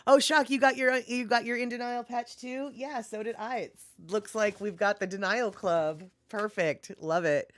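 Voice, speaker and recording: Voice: mid-range voice, fairly even intonation, normal speed, hardly any pauses, fairly bright tone. A livestream host chatting casually and reading viewer comments aloud, laughing often and musing while scrolling. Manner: frequent fillers like "let's see here".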